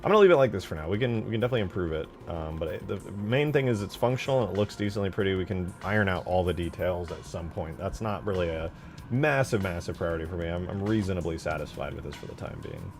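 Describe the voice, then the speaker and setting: Male streamer, low voice, informal and muttering to himself while modding a game, music behind him. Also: lively intonation, fast, darkish tone. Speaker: game modder streaming his work. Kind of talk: casual livestream chat while working. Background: background music.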